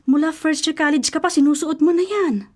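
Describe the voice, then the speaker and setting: An actress playing a caring, protective middle-aged mother in a Filipino radio drama, gently chiding in a Filipino accent. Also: high-pitched, a little fast, natural voice. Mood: concerned, chiding. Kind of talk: Tagalog radio drama acting.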